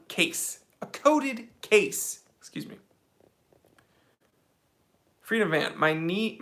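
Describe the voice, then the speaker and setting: A man hosting a casual live-stream Q&A, reading chat questions aloud and answering in a relaxed, slangy way. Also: a little high. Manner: laid-back, slangy, reads chat aloud.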